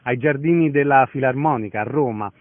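A man's voice: mid-range voice, brisk, no room sound. Male radio interviewer, narrow phone-like sound. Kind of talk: conversational arts interview.

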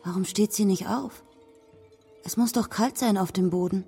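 An actress playing a detached, curious wife in a radio drama, languid, with a soft, breathy voice. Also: female voice, medium pitch, moderate pace, faint music behind. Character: Anne, detached, curious wife watching from window. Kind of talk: naturalistic German radio drama acting.

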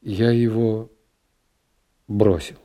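A man's voice: fairly low pitch, fairly even intonation, drawn-out words, mellow. A man voicing a stern, cold-tempered middle-aged father who speaks curtly, clipped and muttering through his teeth, in a classic literary audiobook.

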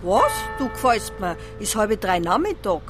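Lively, nosy Bavarian kiosk woman. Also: medium pitch, a little slow, over music. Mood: teasing, nosy. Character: cheeky, nosy female Bavarian newsstand seller. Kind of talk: radio drama acting.

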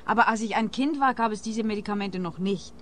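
A woman acting a bitter, touchy oddball in a radio drama, with a Swiss accent. Her mid-pitched voice is earnest and guarded, over slight background ambience. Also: a little fast, a bit bright, a little hiss or hum, lightly acted. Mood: earnest, wounded. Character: defensive, bitter female eccentric hair collector. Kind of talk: radio play dialogue.

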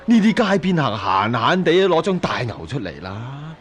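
A man's voice: medium pitch, big pitch swings, normal speed, slight background noise, lightly acted. A man with a Hong Kong Cantonese accent playing a bullying middle-aged addict father in a radio drama, sneering and blustering menacingly.

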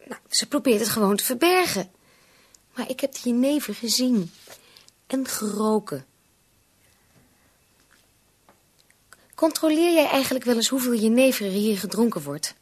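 A high, husky, worried young daughter. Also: female voice, lively intonation, normal speed. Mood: insistent, upset. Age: young adult. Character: worried, insistent young bride-to-be, a daughter pleading. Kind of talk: radio play acting.

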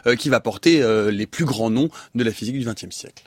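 A man hosting a radio science discussion, pausing often with fillers as he frames his questions. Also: medium pitch, faint music behind. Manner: hesitant, many fillers and restarts. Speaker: radio science show host. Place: radio studio.

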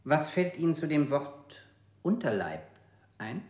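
An actor playing a male interrogator who asks word-association questions in a measured, insistent way. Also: on the high side, very animated, a little mellow, roomy sound. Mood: clinical. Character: cold male interrogator, clinical and persistent. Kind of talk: radio drama acting.

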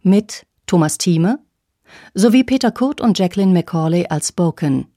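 Mellow woman announcer, dry sound. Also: lowish voice, animated, unhurried, no echo. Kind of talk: scripted radio drama credits.

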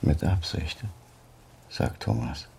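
An actor in a German radio crime drama playing a defensive, guilt-laden senior doctor and father with a husky, clipped delivery. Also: rumbling low, animated, slow pace, mellow, a little hiss or hum, exaggerated voice. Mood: subdued, troubled. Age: middle-aged or older.

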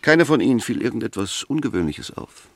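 A man's voice: medium pitch, very animated, quick pace, dry recording. A man acting an insistent, courteous but sceptical defence lawyer in a 1960s German radio drama, precise and argumentative. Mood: persuasive. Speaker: actor in a radio play.

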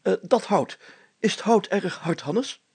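A man voicing a fussy, excitable town mayor in a storybook, hesitant and exclaiming, often repeating himself. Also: sits high, measured pace, husky, no room sound, pitched above the performer's own voice, heavily acted. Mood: squeamish.

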